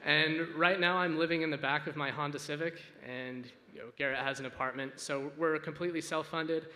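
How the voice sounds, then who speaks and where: A man finishing a startup pitch on stage, joking about his funding. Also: fairly even intonation, fairly quick, pushed and bright, in a large hall, a little hiss or hum. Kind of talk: pitch wrap-up.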